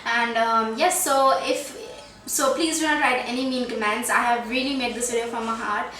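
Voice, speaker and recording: A woman's voice: on the high side, steady tone, normal speed, pushed and bright, soft background music. A young woman from India with an Indian English accent touched by Trinidad, chatting through a makeup tutorial in a small bedroom, pleading and full of fillers. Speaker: beauty vlogger. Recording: roomy, distant mic with background noise.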